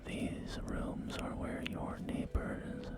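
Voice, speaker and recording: A man performing a whispered ASMR roleplay as a courteous real estate agent, speaking in polite, hesitant sales patter, with music behind him. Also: slow pace. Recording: whisper under loud background music. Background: music and game audio.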